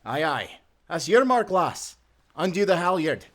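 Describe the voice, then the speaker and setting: Male actor as cheeky friendly sailor, fairly high male voice, folksy seafaring speech, audio drama, noisy ambience behind. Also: sing-song, measured pace. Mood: brisk, encouraging. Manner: folksy old-time seafaring speech, encouraging. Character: hearty, friendly timberman turned sailor, cheekily teasing. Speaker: sailor guiding a newcomer. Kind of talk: nautical fantasy audio drama acting.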